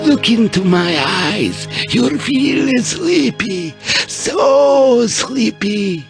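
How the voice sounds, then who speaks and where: Very high-pitched eccentric hypnotist doctor. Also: male voice, animated, drawn-out words, gravelly, heavily acted. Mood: solemn, soothing. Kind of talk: video game cutscene acting. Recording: music behind the voice.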